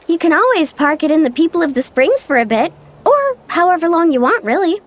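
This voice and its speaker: A woman's voice: very high voice, big pitch swings, fairly quick, a little hiss or hum. An actress voicing an upbeat, friendly young woman in an anime game, casual, bubbly and playfully teasing. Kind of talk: scripted anime game voice acting.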